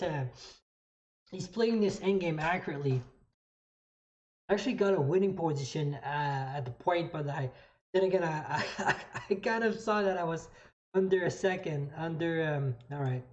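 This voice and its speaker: A chess streamer from Canada with a French Canadian accent talks through moves in a mid-pitched voice. The delivery is casual and halting, with phrases repeated as thoughts form. The sound is a little dull and lo-fi. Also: darkish tone. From New Brunswick, Canada. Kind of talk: casual live commentary explaining moves.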